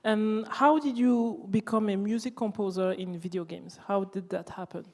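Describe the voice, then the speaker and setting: A woman's voice: mid-range voice, steady tone, moderate pace, a little mellow. Woman interviewing in a hall. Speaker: interviewer, translator. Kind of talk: casual live interview. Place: indoor event hall before an audience. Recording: reverberant hall.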